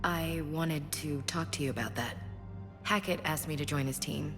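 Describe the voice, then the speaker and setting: An actress playing an earnest, loyal, troubled soldier in a sci-fi video game. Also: female voice, low voice, normal speed. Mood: resolved. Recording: long reverb under music.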